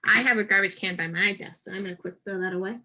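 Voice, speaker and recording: A woman's voice: medium pitch, steady tone, quick pace, bright, forceful tone. A woman teacher giving a step-by-step craft lesson to first graders, speaking directly to children in simple, patient instructions.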